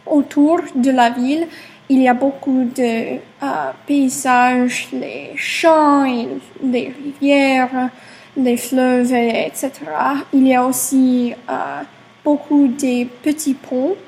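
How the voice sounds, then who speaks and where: A young woman with an American accent and English as her first language, giving an informal presentation haltingly as a language learner, searching for words. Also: high-pitched, a little mellow, rough recording. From Cortland, New York, United States. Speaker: French learner.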